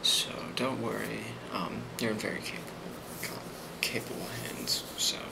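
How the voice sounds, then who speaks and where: A man playing a friendly, rambling office boss in an ASMR role play, speaking softly and hesitantly with a reassuring manner and a creaky voice. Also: fairly low pitch, fairly even intonation, measured pace, low-quality recording. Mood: calm, reassuring. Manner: soft-spoken, hesitant, reassuring. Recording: loud constant background noise.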